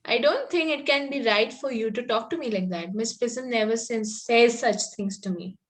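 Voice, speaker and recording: Lady reading a coy prim young victorian girl, fairly high voice, bit hesitant. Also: moderate pace, lightly acted. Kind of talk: amateur table read of a stage comedy.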